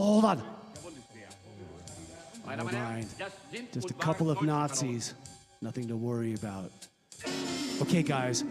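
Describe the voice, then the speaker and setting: A man playing a plucky time-traveling adventurer in a comedy radio play, reporting on a secret mission with dramatic, breezy deliberateness. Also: fairly high pitch, darkish tone. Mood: nonchalant. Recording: long hall reverb with music behind.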